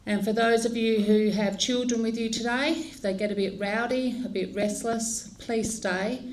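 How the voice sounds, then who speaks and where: A woman leading a funeral service, speaking in a slow, measured and solemn way. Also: fairly high pitch, fairly even intonation. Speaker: funeral celebrant.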